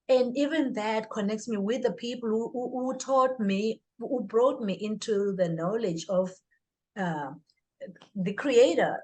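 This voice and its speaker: A middle-aged woman from Swaziland with a Southern African accent, talking reflectively as an interview guest in a deliberate, earnest way. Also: on the high side, darkish tone. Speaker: podcast guest, author and speaker. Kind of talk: reflective personal interview talk.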